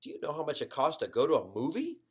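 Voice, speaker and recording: A man's voice: on the high side, huge pitch swings, fast, a little hiss or hum, pitched above the performer's own voice. A man voicing a practical, exasperated mother with a pointed manner in a children's story. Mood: objecting.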